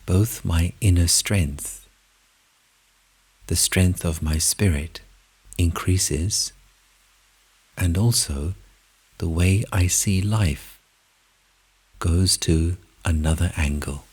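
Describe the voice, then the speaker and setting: A man speaks in a very low, extremely breathy and husky voice. He leads a guided meditation at a very slow pace, placing each short phrase deliberately and leaving long pauses between them. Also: a little mellow.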